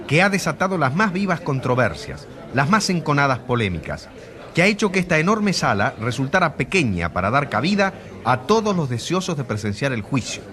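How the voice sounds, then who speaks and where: A man playing a grave radio reporter in a drama, narrating with a husky voice and declamatory, rhetorical delivery. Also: on the high side, sing-song, fairly quick, a bit bright. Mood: grave, dramatic. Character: grave male radio reporter covering a controversial trial.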